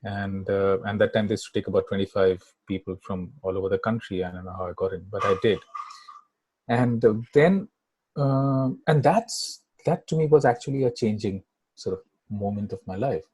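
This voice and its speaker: A man's voice: on the low side, normal speed, warm tone, slightly rough, no echo, rough recording. A middle-aged man from Kolkata, native Bangla speaker with an Indian English accent, talking as an interview guest in a rambling way full of fillers and "right?" tags. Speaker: guest filmmaker. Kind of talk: casual interview chat.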